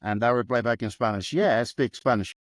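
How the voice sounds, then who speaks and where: A man hosting a podcast, with a mid-pitched voice in a dry room. He talks in an animated way and often restarts with repeated words. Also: a little fast, dry recording. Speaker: podcast host. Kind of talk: conversational interview.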